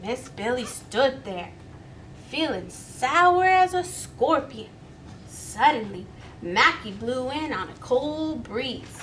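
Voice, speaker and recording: A woman's voice: high-pitched, expressive, slow, a bit bright, slight background noise, rough recording. A woman reading a children's story aloud expressively, inviting young listeners to join in. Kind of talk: animated children's read-aloud.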